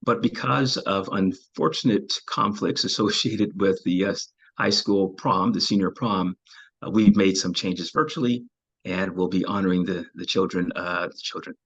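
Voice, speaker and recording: Male interview host, low and husky, measured and filler-heavy, on dull video-call audio. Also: a bit bright.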